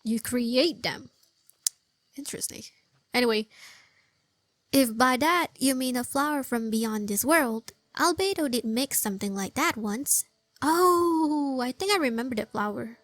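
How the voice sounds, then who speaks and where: High-voiced woman chatting over gameplay, dry mic. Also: lively intonation, no room sound. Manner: halting, repeats phrases, chatty. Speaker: gaming video host. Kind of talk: casual game playthrough commentary. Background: faint game music.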